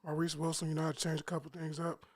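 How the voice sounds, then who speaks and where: A man introduced as a guest's friend, giving quick, casual shout-outs about himself. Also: on the high side, level tone, no room sound, clean studio sound. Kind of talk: casual self-promotion.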